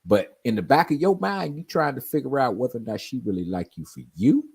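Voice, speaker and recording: A man's voice: mid-range voice, animated, brisk, vocal fry, darkish tone, breathy edge. A man in his forties telling stories in casual chat, often asking "you know what I mean?". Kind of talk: casual chat on a live stream.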